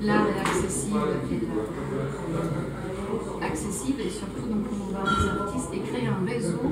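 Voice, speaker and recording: A woman's voice: mid-range voice, very noisy. A woman, a gallery founder, giving a loose, rambling speech to guests with hesitations and restarts. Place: art gallery, indoors. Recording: echoey room, distant mic, loud chatter.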